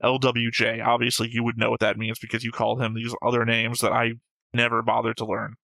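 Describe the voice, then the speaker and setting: A man's voice: medium pitch, completely flat delivery, a little fast, creaky, sharp tone, dry recording. A man hosting a reaction show in casual banter, reading out comments and arguing his points with wisecracks.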